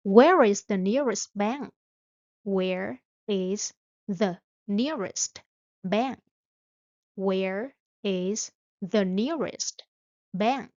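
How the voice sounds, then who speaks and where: A woman drills short phrases at a very slow, deliberate pace, saying each one several times. Her voice sits in a middle range and sounds close and dry, with no room around it. The audio is slightly dull. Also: medium pitch, a little mellow, dry recording. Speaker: English teacher.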